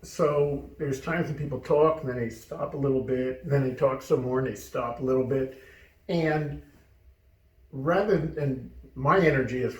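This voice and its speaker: A man's voice: medium pitch, a little mellow. A man from the United States, co-hosting a casual chat show, joking and telling stories in a reflective way. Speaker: co-host. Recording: roomy sound, distant mic.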